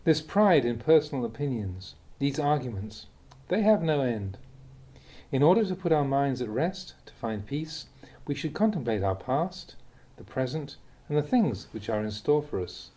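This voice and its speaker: A man reading Buddhist teachings aloud as a scripted narration, in deliberate, short phrases. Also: medium pitch, sing-song, dark tone. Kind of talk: scripted audiobook reading of a Dhamma talk.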